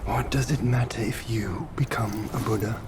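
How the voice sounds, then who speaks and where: A man as a scornful warrior in loud storm noise. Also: fairly low pitch, moderate pace, lightly acted. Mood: scornful, brooding.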